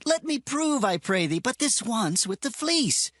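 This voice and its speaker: A man's voice: very high-pitched, sing-song, measured pace, a bit bright, no echo, clean studio sound, heavily acted. A man playing a humble, anxious Israelite warrior who speaks earnestly and emotionally in a scripture audio drama. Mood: humble, pleading.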